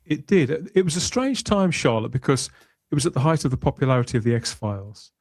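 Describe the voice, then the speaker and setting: A man's voice: medium pitch, animated, brisk, no room sound. Middle-aged man, dry close sound. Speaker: podcast host. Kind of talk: conversational podcast discussion.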